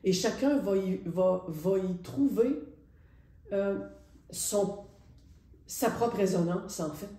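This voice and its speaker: A middle-aged woman with a Quebec French accent talks casually and reflectively about her painting, hesitating and pausing often. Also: mid-range voice, warm tone. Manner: reflective, hesitant, with many pauses. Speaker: painter presenting her artwork. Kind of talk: casual spoken art presentation. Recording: roomy echo.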